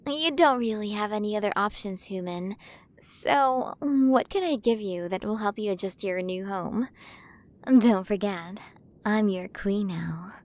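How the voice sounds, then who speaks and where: A woman voicing an ancient alien queen in an ASMR roleplay, curious and playful, gently condescending and possessive toward her human. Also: medium pitch, unhurried, close to an everyday voice. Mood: delighted, possessive. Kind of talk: ASMR roleplay, acted monologue to the listener.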